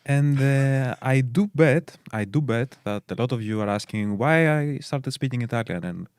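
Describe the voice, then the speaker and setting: A man's voice: mid-range voice, normal speed, a little mellow, clear, resonant tone, no room sound, clean studio sound. A man from Greece with a Greek accent, co-hosting a game stream and chatting casually with lots of fillers as he explains game details. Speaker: stream co-host.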